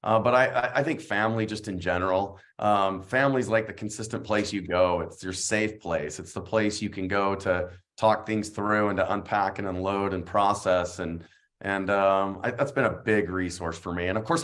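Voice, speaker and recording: Earnest reflective man, somewhat low voice. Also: fairly even intonation, normal speed, a bit bright. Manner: reflective, earnest, with frequent fillers. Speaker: guest, real estate agent. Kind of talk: casual interview chat.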